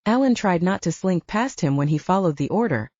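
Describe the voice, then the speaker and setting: Lady narrating a novel, lively swoops in a mellow voice, kinda muffled recording. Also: lowish voice, a little fast, breathy edge, no echo. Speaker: audiobook narrator. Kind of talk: scripted fiction narration with acted dialogue.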